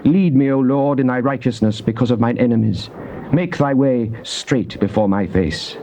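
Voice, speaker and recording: Mellow, solemn British actor as devout psalmist. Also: male voice, medium pitch, lightly acted. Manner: solemn, declamatory, measured phrasing. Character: devout psalmist pleading and praising God. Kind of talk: dramatized scripture reading, classical stage delivery. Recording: dull, noisy old cassette tape.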